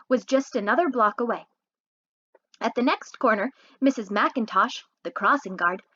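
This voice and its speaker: Animated woman reading a children's story. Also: on the high side, highs cut off. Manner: animated, pauses to ask listeners questions. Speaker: read-aloud host, storyteller. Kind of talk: expressive children's story read-aloud.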